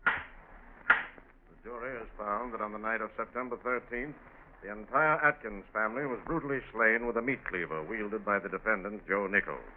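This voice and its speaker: An actor playing a solemn, formal judge reading out a ruling in measured 1940s radio style. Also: male voice, lowish voice, fairly even intonation, close to an everyday voice. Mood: grave, official. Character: solemn, formal male judge passing sentence in court. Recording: tinny, faintly noisy 1940s radio broadcast.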